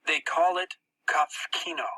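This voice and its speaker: An audiobook narrator reads a first-person story with dramatic tension. The voice is very bright and forceful, slightly husky, and expressive in its phrasing. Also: medium pitch, measured pace.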